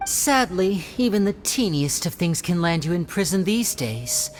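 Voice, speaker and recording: A woman's voice: on the low side, animated, moderate pace, darkish tone, natural voice. A woman voicing a playful young male stage magician in a video game, with a teasing, theatrical way of talking. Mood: wry, showy. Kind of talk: animated video game voice acting.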